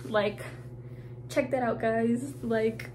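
A woman's voice: a little high, fairly even intonation, extremely slow, a little hiss or hum, poor audio. A young woman and college student, talking casually to camera about her gym routine, chatty with lots of "like" and "you guys". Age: young adult. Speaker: college student fitness vlogger. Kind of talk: casual vlog talk to camera. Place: dorm bedroom, indoors. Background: soft background music.

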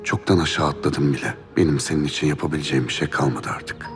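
A man's voice: very deep voice, fast talker, soft background music. An actor playing a grave, resigned man in a television drama, bitter and detached.

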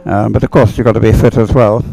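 A man giving unhurried, chatty live race commentary in an encouraging manner. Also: lowish voice, fairly even intonation, creaky, dark tone, poor audio. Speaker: sports commentator.

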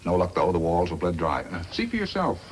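Low-voiced, animated western villain. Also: male voice, brisk. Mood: smooth deceit. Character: scheming, greedy, smug male mine-manager villain. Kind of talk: 1950s western radio acting. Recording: noisy old 1950s radio recording.

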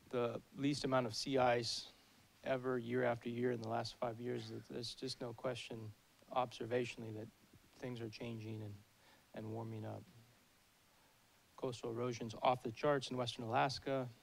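Man in his thirties, speaker at a podium, gravelly, thoughtful. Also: medium pitch, level tone, rough recording. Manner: thoughtful, measured. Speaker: keynote speaker. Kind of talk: lecture Q&A. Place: large hall at a podium. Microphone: handheld mic at a podium.

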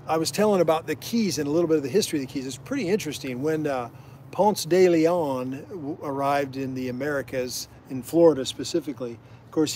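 A middle-aged man from Iowa with a slightly high voice talks casually outdoors by a canal. He reads out viewer comments and drifts into folksy, rambling stories. A faint hiss of noise sits under his voice. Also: darkish tone. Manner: folksy, rambling storyteller who drifts onto side tracks. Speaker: the host's father, guest storyteller. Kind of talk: casual livestream chat, reading out viewer comments.

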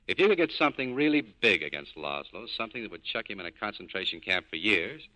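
An actor playing a cool, cynical nightclub owner in clipped, hard-boiled 1940s radio style. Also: male voice, fairly low pitch, expressive, fast, bright, forceful tone, rough recording. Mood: cool, firm. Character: tough, cool cynical male nightclub owner scheming an escape. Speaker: lead actor in a radio drama.

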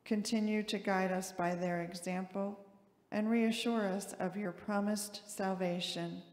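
An older woman leads a church prayer in a measured, solemn way. Her voice sits at a medium pitch and rings through a large, echoey sanctuary with a long reverb. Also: steady tone, darkish tone. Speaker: worship assistant leading prayers. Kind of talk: read liturgical prayer. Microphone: gooseneck lectern microphone.

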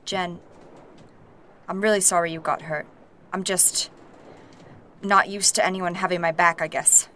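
Blunt, guarded fantasy noblewoman: lower voice, short plain sentences. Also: steady tone, unhurried, pauses often, a bit bright, a little hiss or hum. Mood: pained, pleading. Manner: terse, blunt. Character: proud, guarded, blunt noblewoman, emotionally wounded.

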